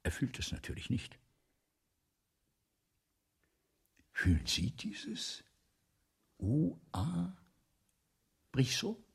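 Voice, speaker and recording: An ageing, self-important writer in a radio play. His very husky voice swings widely in pitch, from declaiming to breathy near-whispers. He takes his time, pompous and halting, repeating himself like someone forgetful. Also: huge pitch swings, exaggerated voice. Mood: grandiose, musing. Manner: theatrical, pompous, halting and repetitive. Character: vain, ailing, forgetful old French writer, grandiose and rambling. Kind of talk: 1970s German radio play acting.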